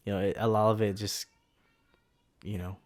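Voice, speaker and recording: A man's voice: fairly low pitch, little pitch movement, moderate pace, a crowd in the background. A man in his twenties, co-hosting a podcast in a laid-back, rambling way, often laughing. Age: 20s. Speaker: podcast co-host. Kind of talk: casual chat.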